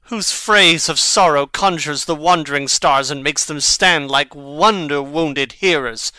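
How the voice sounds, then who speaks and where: An actor playing a brooding, impassioned young prince in a dramatic Shakespeare reading, with deliberate theatrical delivery. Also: male voice, fairly high pitch, bright, forceful tone, clear, resonant tone, dry recording. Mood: impassioned, defiant.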